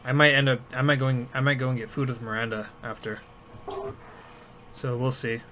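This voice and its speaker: A man's voice: medium pitch, steady tone, normal speed, sharp tone. A man co-hosting a podcast in casual chat, easygoing and often repeating words as he starts. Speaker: podcast co-host.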